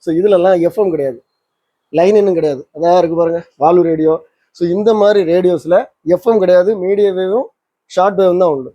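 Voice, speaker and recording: A man's voice: high-pitched, a little fast, warm tone. A man giving an informal hands-on demonstration, explaining conversationally and often rephrasing his points. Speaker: presenter of a vintage radio repair channel. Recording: roomy echo, slightly dull lo-fi sound.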